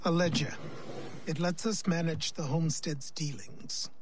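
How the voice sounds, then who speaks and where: Man playing a higher-pitched, weary old mentor. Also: steady tone, unhurried, a little hiss or hum, close to an everyday voice. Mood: reflective. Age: older. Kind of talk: video game cutscene acting. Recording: music behind the voice. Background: music.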